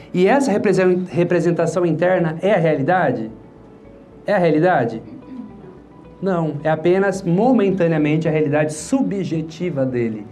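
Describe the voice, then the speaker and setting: A Brazilian man with a slightly high voice explains a method as an instructor would. He is didactic, posing questions and then answering them himself. Music plays faintly behind him. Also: darkish tone. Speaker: hypnotherapist and instructor. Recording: music playing under the voice. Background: background music.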